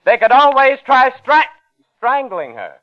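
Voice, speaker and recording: A man's voice: very high voice, very animated, a little slow, a little hiss or hum, heavily acted. An actor playing a brooding, wry man in a vintage radio suspense drama, with muffled old sound. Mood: sardonic. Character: brooding, sardonic man, haunted narrator of suspense tale. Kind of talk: 1940s radio acting, first-person narration.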